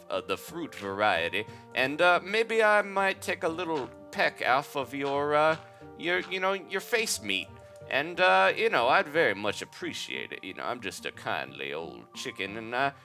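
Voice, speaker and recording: A man's voice: mid-range voice, pushed and bright. A man voicing a kindly, polite old chicken with a put-on Southern American drawl.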